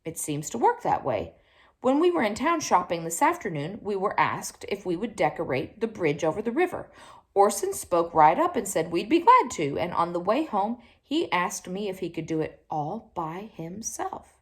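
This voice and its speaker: A woman's voice: medium pitch, big pitch swings, normal speed, close to an everyday voice. A woman voicing a cheerful, encouraging mother in a children's storybook, speaking with approval. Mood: approving.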